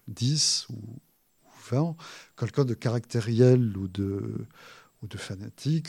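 Author guest, husky gravelly man, halting with lots of pauses, dry sound. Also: fairly low pitch, darkish tone, no echo. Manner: hesitant, many filler pauses and restarts. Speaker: author discussing his book. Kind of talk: thoughtful spoken explanation.

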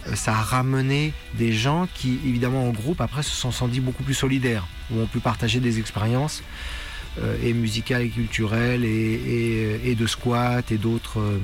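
A man's voice: mid-range voice, creaky. A man reflecting in a documentary interview, searching for words and repeating small words as he goes. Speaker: punk musician interviewed for a radio documentary. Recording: music playing under the voice. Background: music.

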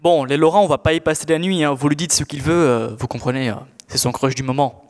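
Teen boy acting as exasperated sensible sidekick, slightly high voice, casual slang, echoing hall. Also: fast talker, close to an everyday voice. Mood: placating. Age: teens. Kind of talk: scripted audio fiction acting.

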